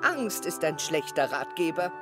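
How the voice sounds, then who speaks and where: A woman voicing a caring old grandmother in a cartoon, soothing and encouraging in an expressive way. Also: low voice, unhurried. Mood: earnest, encouraging. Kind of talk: German cartoon dub acting. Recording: music behind the voice.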